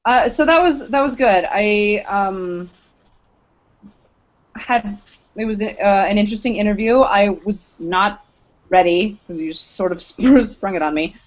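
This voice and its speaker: A woman's voice: medium pitch, measured pace. A woman co-hosting a podcast in informal, self-deprecating casual chat. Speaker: podcast co-host. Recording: muffled lo-fi podcast recording.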